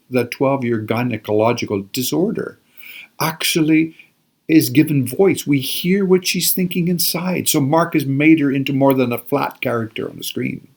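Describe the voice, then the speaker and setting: Older male lecturer, gravelly voice, reflective and self-correcting. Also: medium pitch. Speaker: biblical studies scholar. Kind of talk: informal lecture.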